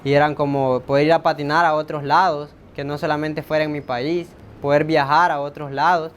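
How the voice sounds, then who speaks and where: Man, Salvadoran accent, fairly high pitch, thoughtful testimony, repeated words, light background rumble. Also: little pitch movement, a little fast. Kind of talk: casual personal testimony in interview. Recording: faint low rumbling background noise.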